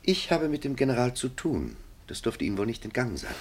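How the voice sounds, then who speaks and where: An actor in a German radio drama playing a haughty, condescending marquis, mocking and menacing. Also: male voice, mid-range voice, sing-song, brisk, slightly rough. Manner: haughty, mocking, sprinkles French phrases. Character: condescending French marquis, smooth, menacing go-between.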